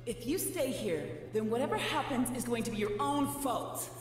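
Scornful female elf spirit for a visual novel, played by a woman: high, animated, set in echoing reverb. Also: moderate pace, close to an everyday voice. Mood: menacing. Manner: scornful, bossy. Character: frustrated vengeful female ghostly elf spirit, scolding and haughty. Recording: echoey reverb, distant, music behind.

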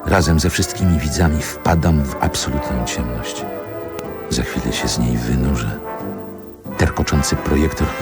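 An actor playing a nostalgic narrator in a radio play, measured, lyrical and reflective. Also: male voice, a bass voice. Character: male narrator, nostalgically recalling a Warsaw boyhood. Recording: music behind the narration.

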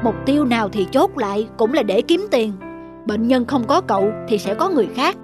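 A man's voice: extremely high pitch, expressive, a little fast, music in the background. A man voicing a pushy, money-minded businessman in a cartoon, insistent and exasperated. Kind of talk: cartoon dub.

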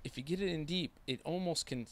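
A man's voice: fairly high pitch, fast. A man in his thirties, a co-host in casual chat, easygoing and agreeable, often trailing off.

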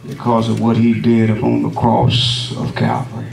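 Gravelly-voiced preacher in an echoey church. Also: male voice, on the low side, very animated, a crowd in the background. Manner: measured preaching cadence with frequent amens. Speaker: visiting pastor. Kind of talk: sermon opening and prayer. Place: wood-paneled church sanctuary. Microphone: lectern microphone, camera at back of room. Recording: echoey room, distant camera, congregation responses.

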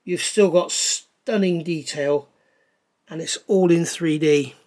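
A man's voice: fairly high pitch, slow, darkish tone. A middle-aged man casually reviewing scale model parts at his table, chatty and enthusiastic, with lots of ums and gushing praise. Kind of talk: casual hands-on product review. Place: indoors at a table.

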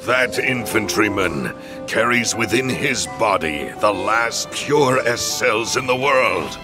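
Middle-aged villain scientist, deep voice, slow, clinical, menacing, self-justifying, video game drama. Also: male voice, expressive, over music. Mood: scheming, intense. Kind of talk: English video game dub acting.

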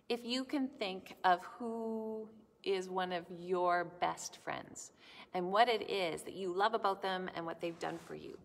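A middle-aged woman giving a children's sermon in a large church sanctuary, speaking gently and explaining things to children. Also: medium pitch, creaky. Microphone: camera in front, at close range. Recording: long church reverb.

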